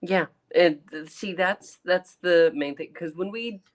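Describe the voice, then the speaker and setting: A man's voice: high-pitched, unhurried. A man co-hosting a casual review chat, giving his opinions with emphasis. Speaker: podcast co-host reviewing audio dramas.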